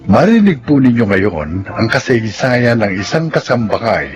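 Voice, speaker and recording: Man narrating radio drama, expressive pitch, loud music bed behind. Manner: formal, slow and dramatic. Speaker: announcer and narrator.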